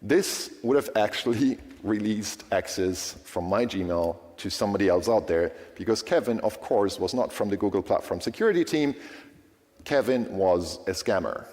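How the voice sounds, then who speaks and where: A lower-pitched man with German as his first language gives a conference talk, his pitch rising and falling animatedly. He talks in a casual way and keeps checking in with the audience. His voice carries the long reverb of a big hall. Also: normal speed. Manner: lively, conversational, frequent "right?" checks with audience. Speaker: conference speaker.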